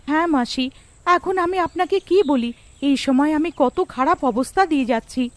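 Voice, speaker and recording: A woman's voice: high voice, moderate pace, dry recording, natural voice. A woman voicing an anxious, respectful young mother of a poor family in a cartoon story. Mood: worried.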